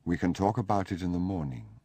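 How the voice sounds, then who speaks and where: A man reading an audiobook, voicing a stately, devout older aristocratic mother who speaks in a quiet, measured, formal way. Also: low voice, steady tone, no echo. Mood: gentle.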